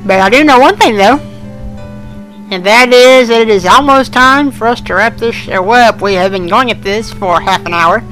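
A man co-hosting a fan podcast, chatting casually and haltingly as he thinks aloud. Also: sits high, pushed and bright, faint music behind, low-quality recording. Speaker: podcast co-host.

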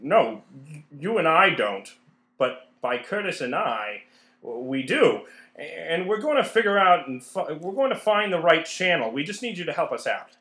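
Guy acting earnest young showbiz kid, indignant, radio play, lively, echoey. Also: a little high, normal speed. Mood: pleading. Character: earnest, indignant young male stage performer. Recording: roomy, echoing recording.

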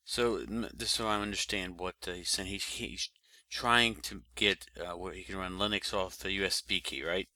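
Man, very low voice, forceful bright tone, halting casual podcast talk. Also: fairly even intonation, no echo. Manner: halting, many fillers and restarts. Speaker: podcast co-host. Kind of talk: casual tech podcast chat.

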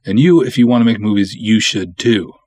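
Blunt man with a low, husky voice. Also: a little fast, darkish tone, no room sound. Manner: blunt, opinionated, emphatic, acts out imagined dialogue. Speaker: podcast host, independent film writer-director. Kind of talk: candid solo podcast monologue giving advice.

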